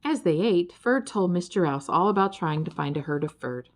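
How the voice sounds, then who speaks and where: A woman narrating a children's story in an expressive, scripted storytelling style. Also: on the low side, moderate pace. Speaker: audiobook narrator.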